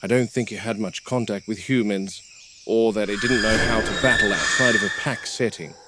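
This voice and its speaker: A man playing a weathered game warden who speaks tersely, quiet and subdued when upset. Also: fairly low pitch, normal speed, lightly acted. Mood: calm. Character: weathered male park game warden, grim, practical. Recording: noisy, with crickets and insects behind.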